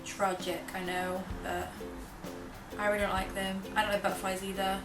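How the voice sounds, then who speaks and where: A bubbly young woman from North Wales talks to her camera in a casual, self-mocking way, sometimes sinking into a whisper. She sits back from the mic in a roomy space, and music plays clearly behind her. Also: mid-range voice, little pitch movement, normal speed, recorded from a distance. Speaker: vlogger. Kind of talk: casual chatty vlog.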